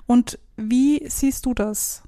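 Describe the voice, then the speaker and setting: Woman interviewer just chatting, slightly high voice, songwriter, informal talk. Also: slow pace, mellow. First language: German. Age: adult, not young teens. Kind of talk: casual conversation.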